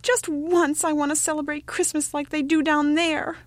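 Woman as an eager, high-voiced little star boy. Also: little pitch movement, moderate pace, no echo, natural voice. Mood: pleading. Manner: pleading, wistful, breathlessly earnest. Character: eager, pleading youngest star child, a young boy.

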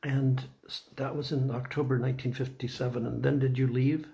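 A man conducting an oral history interview, asking measured, polite questions with some hesitation. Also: mid-range voice, flat delivery, creaky, dark tone. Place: old building, a former dormitory.

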